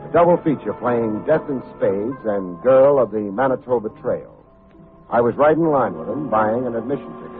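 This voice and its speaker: An actor playing a cool, wry, hard-boiled private investigator who talks and narrates in old-time radio drama style. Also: male voice, medium pitch, close to an everyday voice. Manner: wry, unhurried, wisecracking. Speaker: actor playing the lead detective, also narrating. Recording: muffled narrow-band old radio recording, faint music.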